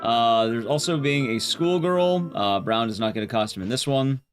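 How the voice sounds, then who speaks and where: A man with a mid-pitched voice that swings up and down in a lively way, talking to his stream chat over music. He rambles through his game commentary, backing up and restarting thoughts in a loose, casual manner. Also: normal speed. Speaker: livestreamer.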